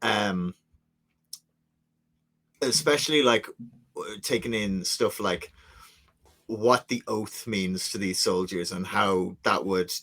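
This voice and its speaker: Low guy rambling on a podcast, lots of um and like. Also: takes it slowly, long pauses, fairly bright tone. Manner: rambling, many fillers. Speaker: podcast co-creator. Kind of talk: casual chat.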